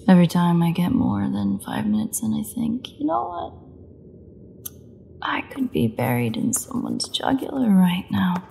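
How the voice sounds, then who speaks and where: A very gravelly, crackling female vampire played by a woman, locked up and starving yet cocky and menacing. She mocks her jailers in a sardonic, unhurried drawl that swings from high lilts to low drops, as in a dark audio drama. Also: very animated, heavily acted. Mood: dry taunting. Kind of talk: solo audio roleplay acting.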